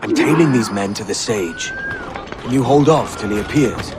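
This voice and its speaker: An actor playing a brash young pirate captain in a video game, with an informal, cocky, persuasive manner. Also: male voice, mid-range voice, wildly swooping pitch, unhurried, very noisy. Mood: confident, conspiring. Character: brash, roguish young male pirate captain, self-interested. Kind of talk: video game cutscene acting. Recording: game audio with music behind.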